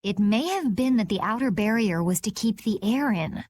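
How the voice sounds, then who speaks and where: A woman voicing a calm, coolly perceptive young woman in a video game, measured and composed. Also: fairly high pitch. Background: game music.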